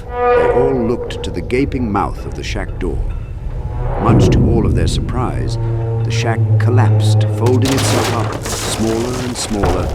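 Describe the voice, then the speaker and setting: A man narrating a dark fantasy audiobook in a measured, dramatic storytelling style. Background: low ambient sound design.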